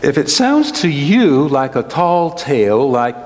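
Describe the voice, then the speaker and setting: Slow-speaking older pastor in an echoey church. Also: male voice, fairly high pitch, sing-song, darkish tone. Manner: deliberate, phrase-by-phrase delivery. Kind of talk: storytelling sermon. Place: indoors at a church pulpit. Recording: echoey hall, long reverb.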